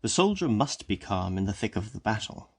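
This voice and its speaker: Man playing a solemn, gravelly policeman. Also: lowish voice, sing-song, exaggerated voice. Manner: slow, measured and solemn. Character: calm, solemn educated male policeman. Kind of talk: audiobook character reading.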